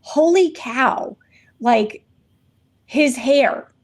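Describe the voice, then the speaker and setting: Woman from virginia co-hosting a podcast, a bit higher voice, chatty and lively. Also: drawn-out words. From Virginia, Shenandoah Valley. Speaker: podcast co-host. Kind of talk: casual podcast chat.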